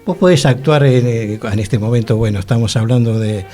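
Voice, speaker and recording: A man's voice: medium pitch, brisk, mellow, faint music behind. A man with a Rioplatense Argentine accent co-hosting a casual chat, agreeable and repeating his words.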